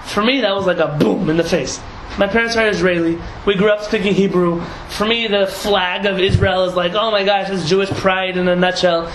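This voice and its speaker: Lively high-voiced rabbi in an echoey room. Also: male voice, brisk, hardly any pauses. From San Diego, United States. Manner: lively, laughing, many restarts and asides. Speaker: rabbi teaching a class. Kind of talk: casual talk to a group. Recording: echoey room, audible noise.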